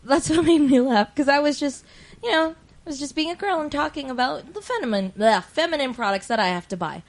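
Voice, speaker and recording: A woman's voice: high-pitched, expressive, brisk. A woman in casual podcast chat, talking frankly and swearing freely as a co-host.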